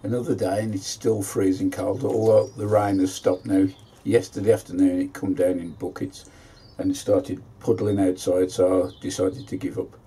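A man's voice: low voice, flat delivery, normal speed, few pauses, mellow, a little hiss or hum, low-quality recording. A man, chatting casually as he shows his allotment work in a greenhouse. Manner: chatty, homely, plain-spoken. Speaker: allotment vlogger. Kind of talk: casual gardening vlog narration.

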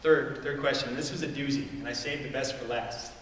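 A man's voice: mid-range voice, steady tone, fairly quick, a bit bright, slight background noise. A middle-aged man preaching a sermon from a church stage, talking conversationally with rhetorical questions and small chuckles. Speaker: pastor. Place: church stage with a large screen. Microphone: far camera in the hall. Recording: echoey hall from far off.

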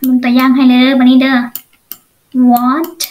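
High-pitched Lao woman teaching, very unhurried. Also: fairly even intonation, darkish tone. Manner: explains step by step with frequent check questions. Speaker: English teacher. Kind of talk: online language lesson.

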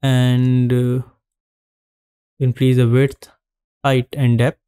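Slow, calm man walking through a tutorial. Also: mid-range voice, smooth, no room sound, clean studio sound. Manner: calm step-by-step instructions with frequent "uh" pauses. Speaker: 3D modeling tutor. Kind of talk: screen-recorded software tutorial narration.

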